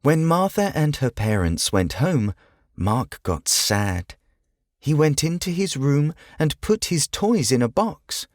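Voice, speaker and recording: A man narrates a children's tale in a measured storyteller's manner. His delivery is slow and his pitch moves expressively from phrase to phrase. The sound is close and dry. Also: sing-song, no room sound. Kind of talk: scripted children's audiobook narration.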